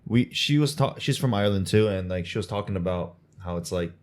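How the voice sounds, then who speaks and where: A man's voice: low-pitched, a little fast, darkish tone. A man co-hosting a podcast, chatting casually and reacting in slangy, loose sentences. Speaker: podcast co-host. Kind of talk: casual chat.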